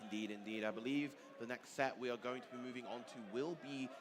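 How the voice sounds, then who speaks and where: A man's voice: mid-range voice, quick pace, a crowd in the background. A man giving live fighting game commentary and analysis, articulate and emphatic, with rather formal phrasing. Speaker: esports tournament commentator. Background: clear background music.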